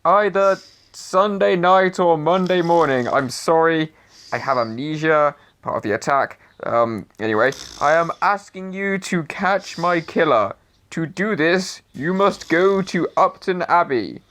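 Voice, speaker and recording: A man's voice: a little high, lively intonation, a little slow, a bit bright. A man playing the pompous ghost of a murdered novelist, dithering and self-important, in an audio drama. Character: pompous dead male novelist, now a ghost.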